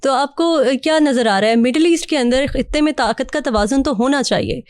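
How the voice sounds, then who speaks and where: Lady tv host interviewing on politics, voice a bit high, very dry sound. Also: fairly quick, a little mellow, no room sound. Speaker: talk show host.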